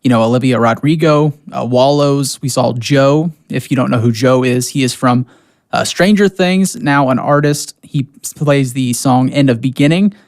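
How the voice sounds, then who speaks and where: A man's voice: mid-range voice, moderate pace, darkish tone, no echo, studio quality. A man hosting a radio music show, chatting in a casual, informal, conversational way. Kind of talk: casual radio host chat introducing music.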